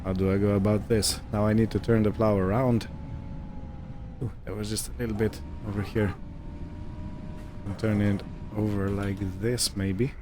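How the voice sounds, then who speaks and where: A man's voice: lowish voice, flat delivery, normal speed, pauses often, background noise. A man giving casual, musing gameplay commentary, hesitant and thinking aloud as he goes. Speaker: gaming video host.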